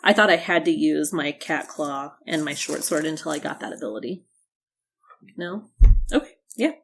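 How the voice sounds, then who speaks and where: A woman with a gravelly, slightly low voice talks casually as a player in a tabletop game. She sounds tentative, working out her character aloud. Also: animated, moderate pace, slight background noise.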